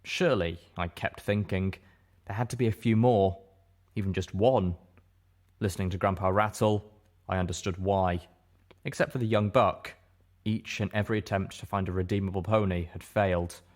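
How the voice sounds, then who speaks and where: A man's voice: sits low, steady tone, moderate pace. A man reading a first-person story aloud as an audiobook narrator.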